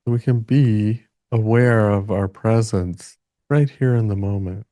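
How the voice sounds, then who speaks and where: A man gently guides a meditation in a soft-edged, mellow voice on the low side. He speaks very slowly, in short halting phrases with long pauses. The sound is dry and close, free of room echo.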